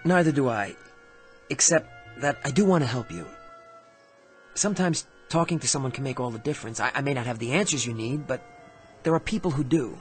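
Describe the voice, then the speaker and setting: An actor playing a gentle, halting, concerned man helping a troubled stranger in a radio drama. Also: medium pitch, lightly acted. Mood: kindly, hesitant. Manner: halting, soft-hearted. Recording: prominent music under radio drama.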